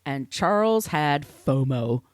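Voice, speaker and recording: Lively, irreverent woman podcast host. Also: deep voice, slow, dry recording, studio quality. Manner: irreverent, casually sweary. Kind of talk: conversational storytelling from researched notes.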